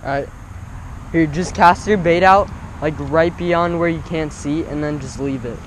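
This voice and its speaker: A young man commenting live on his fishing outdoors by a pond, breaking into excited exclamations with every catch. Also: on the high side, measured pace, slight background noise, rough recording. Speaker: fishing vlogger.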